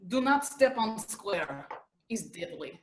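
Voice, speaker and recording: A woman playing a terse, paranoid female soldier in a comic stage play, speaking in clipped, deadpan sentences without articles. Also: medium pitch, slow pace. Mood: stern.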